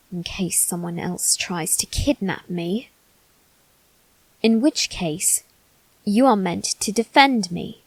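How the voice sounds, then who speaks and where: A woman's voice: mid-range voice, dry recording, rough recording. A woman voicing a haughty, bitter young noble boy in an ASMR roleplay, speaking formally and with cold condescension. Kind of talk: ASMR character roleplay, scripted monologue.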